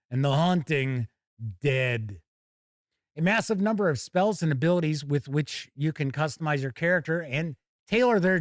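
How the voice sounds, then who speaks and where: Animated, higher-pitched man hosting a livestream. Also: no echo, studio quality. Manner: folksy, wry, jokey asides. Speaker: host of a live tabletop gaming news show. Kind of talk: casual livestream chat and news reading.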